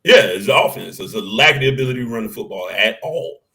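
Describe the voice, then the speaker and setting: A man with a deep, bassy voice, co-hosting a casual sports talk show and arguing his points with animated, salty energy. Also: quick pace, faint music behind. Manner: animated, argumentative, swears casually.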